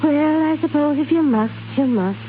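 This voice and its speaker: Fussy caring mom, middle aged, highish, old 1930s radio commercial, phone-like sound. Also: female voice, animated, moderate pace. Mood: concerned. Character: fussing, tender middle-aged mother. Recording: hissy, narrow-band 1930s radio recording.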